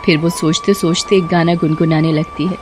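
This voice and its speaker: A woman's voice: sits low, little pitch movement, brisk, a little mellow, slight background noise. A woman narrating a scripted tale as a storyteller.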